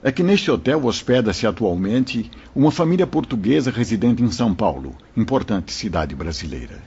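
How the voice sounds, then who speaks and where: An actor playing a wise spirit mentor who recounts events to listeners in a solemn, explanatory manner in an audio drama. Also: male voice, lowish voice, sing-song, moderate pace, mellow, breathy edge, full character voice. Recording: muffled lo-fi audio.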